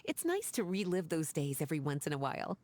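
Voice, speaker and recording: A woman's voice: deep voice, very animated, fast talker, darkish tone, slight background noise. A woman playing a teasing, languid adult librarian in a video game, with a coquettish manner. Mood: pleased. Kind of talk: video game character acting.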